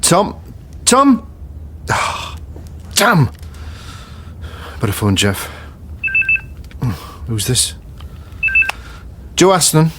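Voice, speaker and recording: A man's voice: fairly high pitch, wildly swooping pitch, drawn-out words, pauses often, exaggerated voice. A man playing a stubborn, troubled investigator in a naturalistic radio drama, speaking hesitantly and half to himself. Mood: defensive, anxious. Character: stubborn, troubled male investigator, a doctor of memory. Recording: noisy location sound with vehicle ambience.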